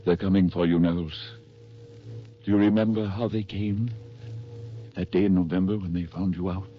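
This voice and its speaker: An actor playing a haunted, fearful man, tense and halting, in 1940s radio drama style. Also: deep voice, steady tone, natural voice. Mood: ominous, haunted. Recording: dull 1940s radio recording with music.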